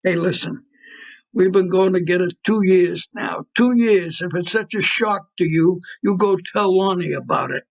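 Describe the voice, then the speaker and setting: A man acting in an audio play as an irritable, menacing mob fixer boyfriend, with blunt, slangy talk and a gravelly, husky voice. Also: high-pitched, moderate pace. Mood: cold, sarcastic.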